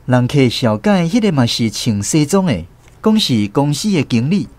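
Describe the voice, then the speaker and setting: A man's voice: mid-range voice, sing-song, normal speed, darkish tone, no echo, close to an everyday voice. An actor with a Taiwanese accent narrating a radio drama as a man fondly recalling his rural boyhood. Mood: reflective storytelling. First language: Taiwanese Hokkien. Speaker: narrator telling his childhood story.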